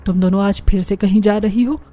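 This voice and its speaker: A woman's voice: mid-range voice, steady tone, brisk, warm tone, close to an everyday voice. A woman voicing a strict, suspicious middle-aged mother-in-law in a cartoon family story, questioning and scolding. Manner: scolding, interrogating.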